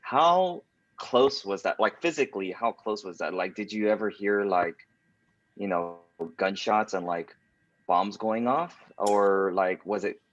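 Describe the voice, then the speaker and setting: A pastor hosting a church webinar over a video call, talking casually with lots of fillers and hesitations. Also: male voice, lowish voice, measured pace. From United States. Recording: dry, dull lo-fi video call audio.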